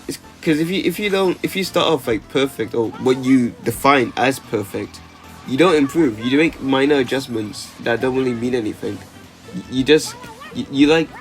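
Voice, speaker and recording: Young man, casual vlog, mid pitch, stammering restarts, filler-heavy, faint background music. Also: low-quality recording. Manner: rambling, stammers and restarts, frequent "like", swears casually. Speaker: YouTuber.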